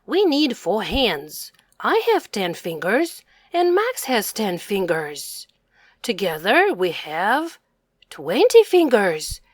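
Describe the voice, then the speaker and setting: A woman voicing an excited, clever little boy in an eager, exclaiming storybook read-aloud. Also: medium pitch, takes it slowly, no echo, full character voice. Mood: eager, thinking.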